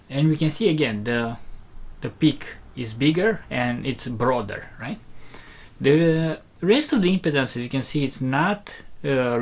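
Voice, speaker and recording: A presenter giving an unscripted technical demonstration, explaining step by step in a hesitant, halting way with short fragments. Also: medium pitch. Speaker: presenter demonstrating audio equipment.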